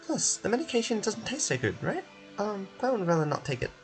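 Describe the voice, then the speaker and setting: A man voicing a small, excitable, indignant floating companion from a game, whiny and exclaiming. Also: sits high, fast, faint music behind, voice pushed up. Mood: reluctant. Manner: exclaiming, refers to self in third person.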